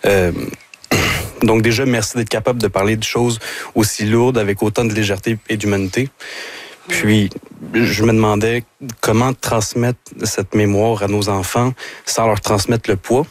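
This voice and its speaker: A man from Quebec with a Québécois accent, an audience member earnestly asking a measured question. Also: lowish voice, fairly even intonation.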